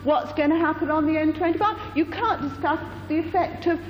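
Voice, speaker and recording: High-pitched emphatic woman in echoey hall. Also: brisk. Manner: emphatic, repetitive. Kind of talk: indignant public meeting complaint. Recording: dull, echoey hall, faint noise.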